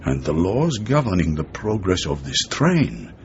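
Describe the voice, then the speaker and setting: Shrewd, expansive low-voiced male spy ally. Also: big pitch swings, full character voice. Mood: grave, emphatic. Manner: expansive, deliberate, emphatic. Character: worldly, shrewd male intelligence ally, emphatic and philosophical. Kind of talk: radio drama acting. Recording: muffled radio drama with background noise.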